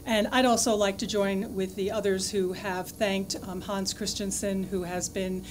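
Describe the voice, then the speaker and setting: A woman hosting an event, giving measured, gracious closing thanks to students and donors in a large lecture hall. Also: medium pitch, flat delivery. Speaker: event host. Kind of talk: formal closing remarks. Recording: noisy hall recording.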